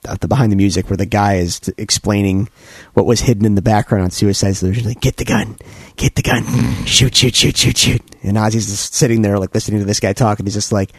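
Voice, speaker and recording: A man co-hosting a podcast, still congested from a cold, rambling through animated stories with many restarts. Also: lowish voice, no echo, clean studio sound. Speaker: podcast co-host. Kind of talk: casual chat.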